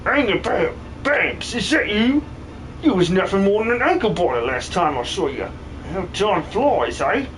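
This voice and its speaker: A man voicing an excitable, colloquial miner villager in an improvised video game fan dub. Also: high voice, normal speed, slight background noise. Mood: surprised. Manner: colloquial, exclamatory.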